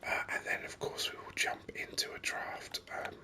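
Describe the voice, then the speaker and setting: A gaming host whispering ASMR commentary very close to the microphone in a rambling, hesitant way. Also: normal speed. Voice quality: breathy unvoiced whisper. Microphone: microphone very close to the mouth. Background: voice-over on game screen capture.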